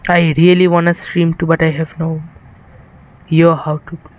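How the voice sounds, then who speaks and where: A man's voice: a little high, flat delivery, moderate pace. A young man from India chatting casually with viewers while streaming a game, rambling and tired, on an improvised setup. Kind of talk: casual live-stream chat while gaming.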